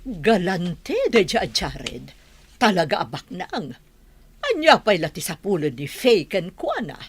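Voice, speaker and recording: A woman playing a brisk, materialistic middle-aged mother in a Filipino radio comedy drama. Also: fairly low pitch, very animated, husky, dry recording, exaggerated voice. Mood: impressed.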